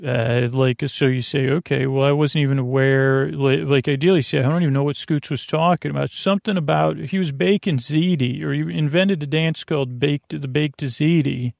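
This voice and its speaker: A man hosting a bedtime podcast in a creaky voice, meandering through tangents and interrupting himself in a lulling, soothing manner. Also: a little high, steady tone, normal speed, few pauses, a little mellow, no room sound, studio quality. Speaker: podcast host. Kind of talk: rambling bedtime podcast monologue.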